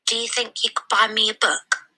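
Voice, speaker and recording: A woman's voice: a little high, completely flat delivery, normal speed, sharp tone, pitched above the performer's own voice. A woman voicing a polite, composed and bookish little girl in a storybook reading. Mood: polite, earnest. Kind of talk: storybook character voice.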